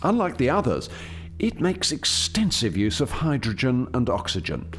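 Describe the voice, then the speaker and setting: Man playing an animated, articulate alien chief scientist. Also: mid-range voice, normal speed, slight background noise. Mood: explanatory. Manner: articulate, formal, explanatory. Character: male alien chief scientist, eager, proud, explaining his experiment. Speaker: lead scientist presenting a project. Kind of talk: radio play dialogue, expository.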